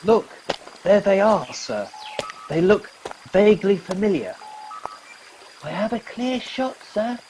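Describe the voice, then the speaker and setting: A woman playing an eager, earnest young crew member in a comic radio play, speaking deliberately. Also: medium pitch, expressive, mellow. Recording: noisy home recording with background hiss.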